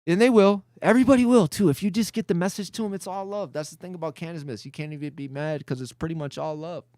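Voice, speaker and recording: A man, rambling and animated as he tells stories in a casual podcast chat. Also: on the high side, quick pace, no room sound, studio quality. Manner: rambling, animated, restarts a lot. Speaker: podcast guest, cannabis advocate.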